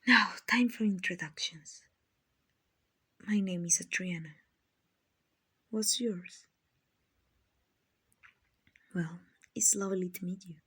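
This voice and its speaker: A woman plays a gentle vampire noblewoman in an ASMR roleplay. Her voice is fairly low and husky, often sinking to a breathy whisper. She speaks very slowly, soothing and genteel, like a kind owner comforting someone. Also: full character voice. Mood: gentle, hospitable. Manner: slow, soothing, genteel, endearing. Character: gentle, kindly female vampire, soothing rich slave-buyer.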